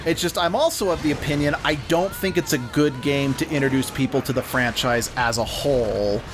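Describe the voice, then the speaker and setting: A man's voice: mid-range voice, fairly quick, background noise. A man giving casual let's play commentary, explaining trivia and opinions at length. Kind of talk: casual gaming commentary chat.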